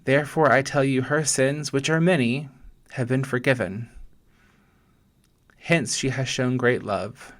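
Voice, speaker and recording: A man speaks very slowly in a medium-pitched voice, as a pastor leading a guided meditation. His delivery is gentle and deliberate, with pauses between short phrases as he narrates scripture as an imagined scene.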